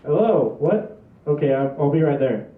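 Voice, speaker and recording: A man's voice: a little high, animated, moderate pace, close to an everyday voice. A man playing awkward, flustered family members in a comic table read. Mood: startled. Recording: roomy, distant, phone-like sound.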